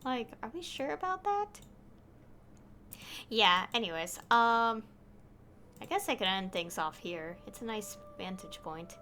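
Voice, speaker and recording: A woman streamer chatting casually through a game, easily delighted and quick to laugh. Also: fairly high pitch, expressive, pushed and bright. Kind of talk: casual gameplay commentary.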